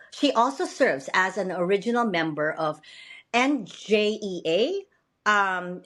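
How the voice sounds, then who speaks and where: A woman's voice: mid-range voice, a bit bright. A woman podcast host with a Filipino accent, speaking upbeat and laughing often on a video call. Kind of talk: podcast interview.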